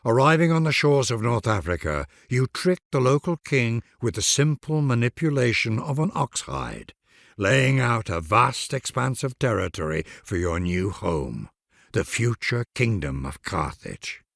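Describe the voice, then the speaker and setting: A man's voice: mid-range voice, very animated, a little slow, creaky, fairly bright tone, no echo, clean studio sound. A man reading grand scripted narration for a strategy game, addressing the listener in a formal, stately, dramatic way.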